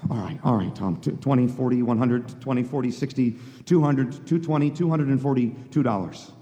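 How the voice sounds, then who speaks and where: A man's voice: mid-range voice, brisk, mellow, lightly acted. An actor playing an earnest, flustered young small-town loan manager, stammering and repeating his words in a folksy way, in the style of a live radio play. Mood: relieved, hurried. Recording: reverberant hall, live stage performance.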